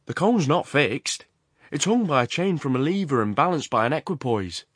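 A man performs a domineering ironworks man in a dramatized storybook. His voice is raised well above a narrator's, loud and emphatic, in a dry, close recording. He talks expansively and feverishly, with menace underneath. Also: fairly high pitch, big pitch swings, moderate pace, husky, dry recording, voice pushed up, full character voice. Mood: insistent, expansive. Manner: loquacious, emphatic, abrupt commands.

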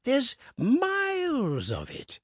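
A man's voice: very high-pitched, wildly swooping pitch, drawn-out words, dry recording, studio quality, pitched above the performer's own voice, full character voice. A man voicing a feeble, talkative old man with a thin, piping, quavering voice. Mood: wistful.

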